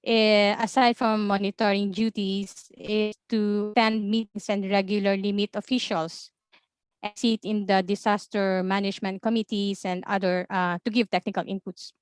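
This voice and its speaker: A Filipino woman with a Filipino accent, presenting in a webinar as an observatory information officer in a measured way, with many ums and uhs. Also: mid-range voice, little pitch movement, clean tone, dry recording. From Philippines.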